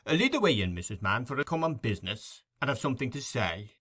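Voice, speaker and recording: A man's voice: mid-range voice, sing-song, quick pace, a bit bright, breathy edge. A man voicing a pompous, self-important parish officer who blusters and speechifies. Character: pompous fat choleric male parish beadle.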